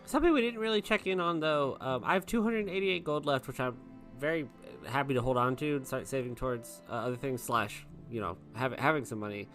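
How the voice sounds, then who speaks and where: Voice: medium pitch, fairly quick, a bit bright, soft background music. A player chatting casually and bantering during a tabletop roleplaying game.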